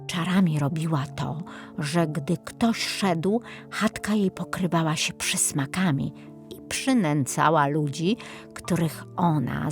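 Slow-paced woman storyteller. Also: on the low side. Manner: measured, dramatic storytelling. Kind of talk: scripted fairy-tale narration for children.

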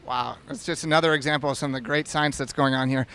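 A man's voice: mid-range voice, steady tone, fast talker, vocal fry, fairly bright tone, clear, resonant tone. A man in his thirties hosting on-camera interviews at an event with a handheld microphone. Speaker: interviewer, blog host. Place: large convention hall.

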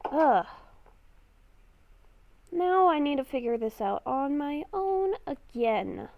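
A woman improvising in-character commentary for a game roleplay, speaking hesitantly and haltingly, with sarcastic asides. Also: high-pitched, big pitch swings, no room sound, narrow, tinny audio. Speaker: gamer playing a roleplay series.

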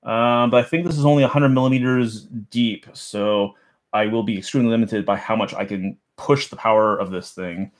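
A man's voice: lowish voice, fairly even intonation, brisk. A man in his thirties chatting casually in a small home office, rambling and muttering as he works on a computer case. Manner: rambling, self-deprecating, mutters while handling parts. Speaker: streamer unboxing a computer. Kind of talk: casual live chat while working. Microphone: boom mic near desk.

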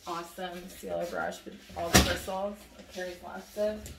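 A woman's voice: on the low side, flat delivery, moderate pace, creaky. A woman in her thirties chatting casually as she paints furniture, gushing, with lots of fillers. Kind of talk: casual how-to narration while working. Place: tiled room indoors at home. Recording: echoey room, distant mic, noisy.

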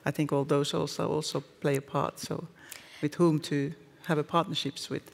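A man answering on a panel in a halting way, repeating words often. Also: a little high, little pitch movement, creaky. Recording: reverberant stage room.

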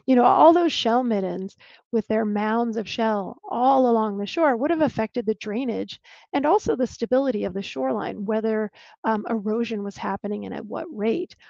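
A woman archaeologist giving a slide talk over a video call, explaining clearly and sometimes restarting a word. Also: a little high, moderate pace, darkish tone, no echo. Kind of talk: presenting a lecture with slides. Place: home room on a video call. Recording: dull, lo-fi dry video call audio.

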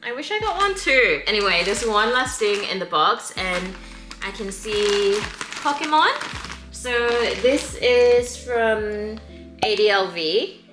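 A woman's voice: medium pitch, animated, slow, reverberant, music in the background. A woman vlogger chatting casually and enthusiastically about a streetwear and sneaker haul, with lots of fillers. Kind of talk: casual shop-with-me haul chat.

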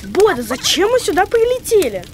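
A woman's voice: very high voice, big pitch swings, normal speed, background noise. A woman voicing a small, cute, earnest childlike creature in a children's cartoon. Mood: questioning.

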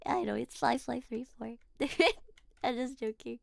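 A woman's voice: fairly high pitch, flat delivery, a little slow, creaky, darkish tone, dry recording, studio quality. A woman streaming a horror game, chatting casually, giggling and talking to her character. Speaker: VTuber streamer. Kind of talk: casual gaming livestream chat.

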